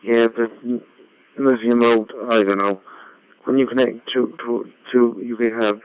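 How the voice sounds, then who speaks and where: A man chatting as an amateur radio operator over a digital radio link, talking technically in a halting, repetitive way. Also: mid-range voice, fairly even intonation, smooth. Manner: halting, repeats words. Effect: digital ham radio transmission. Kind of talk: casual radio contact chat, technical. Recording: dull, band-limited amateur radio audio.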